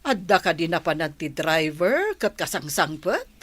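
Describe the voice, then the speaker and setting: A woman's voice: lowish voice, big pitch swings, unhurried, fairly bright tone, breathy edge, no echo, heavily acted. A woman playing an affectionate elderly grandmother in an Ilocano radio comedy-drama. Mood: concerned, chatty.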